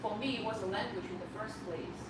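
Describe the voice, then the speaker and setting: A woman with a strong Russian accent, a guest on a podcast, talking softly with hesitations and fillers; Russian is her first language. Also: medium pitch, fast talker. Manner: soft-spoken, hesitant, many fillers. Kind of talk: casual interview chat. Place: live podcast set on a couch. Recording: echoey room, distant mic, background noise.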